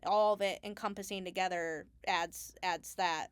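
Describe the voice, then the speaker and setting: A woman being interviewed as a Foley artist, talking casually and haltingly as she thinks aloud. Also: lowish voice, fairly even intonation, smooth.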